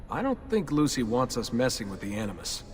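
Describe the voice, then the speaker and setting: A man's voice: medium pitch, sing-song, fairly quick, a little hiss or hum, close to an everyday voice. A man playing a wry, questioning young everyman hero in a video game, speaking casually. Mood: wry doubt. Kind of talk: video game cutscene dialogue. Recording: music behind the voice.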